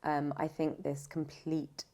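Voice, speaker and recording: A woman's voice: sits low, completely flat delivery, slow pace, warm tone. A woman chatting thoughtfully as an interview guest, explaining her views in a conversational way. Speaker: podcast guest, audiobook narrator and voice actor.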